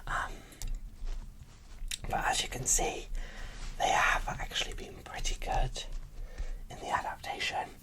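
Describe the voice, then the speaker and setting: Whispery asmr unboxing host, takes it slow, breathy, chatty and excited, right up by the mic. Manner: casual, slow, enthusiastic. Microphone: close microphone.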